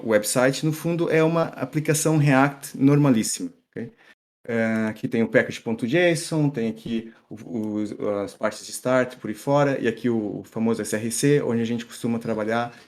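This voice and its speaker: Man with a mixed Brazilian-Portuguese accent. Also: medium pitch. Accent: mixed Brazilian and European Portuguese. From Brazil, São Paulo. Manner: relaxed, explanatory, many fillers and restarts. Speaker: tech meetup speaker. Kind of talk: live coding talk.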